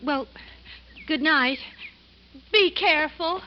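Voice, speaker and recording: Nervous, pleading friend, very high-pitched woman, old radio drama style, muffled vintage sound. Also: slow pace, slight background noise. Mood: anxious, pleading. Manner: fretful, stammering pleas. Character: anxious, easily panicked, pleading young woman friend. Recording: dull old radio recording with music.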